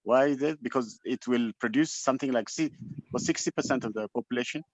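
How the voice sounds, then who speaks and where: A man's voice: medium pitch, a little fast, no room sound. A man with an Ethiopian accent giving an impassioned, unscripted motivational address as a webinar speaker, emphatic and exhortative, often repeating himself for weight. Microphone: online call microphone. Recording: dull, lo-fi webinar audio.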